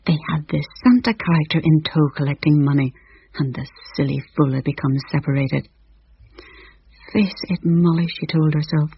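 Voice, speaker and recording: Husky, wry male narrator. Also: fairly high pitch, moderate pace. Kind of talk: scripted narration. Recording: dull, dry old radio audio.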